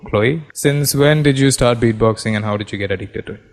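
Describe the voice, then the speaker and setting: A man's voice: mid-range voice, brisk. A man hosting a casual video call chat, upbeat and laughing, with casual slang. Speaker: host.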